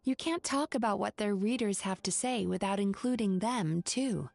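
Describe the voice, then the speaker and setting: Composed, analytical young therapist. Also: female voice, mid-range voice, vocal fry, darkish tone, no echo. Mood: firm, clinical. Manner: measured, clinical, articulate. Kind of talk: video game voice acting.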